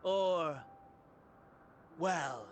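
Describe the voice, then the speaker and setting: A man's voice: on the high side, extremely slow, soft background music. A man playing a courteous, mocking villainous schemer with ornate, theatrical delivery in a video game cutscene. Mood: mocking, amused.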